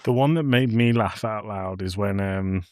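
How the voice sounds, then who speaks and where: A man's voice: fairly low pitch, normal speed, no room sound, clean studio sound. A man in his thirties chatting casually as a podcast co-host. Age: 30s. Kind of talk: casual chat.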